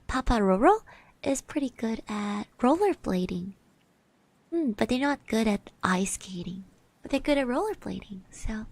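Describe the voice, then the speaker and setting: A woman voicing a shy, sweet, chatty girl classmate in a soft-spoken, hesitant ASMR roleplay. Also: on the high side, no echo, full character voice. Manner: hesitant, gentle, refers to herself in third person.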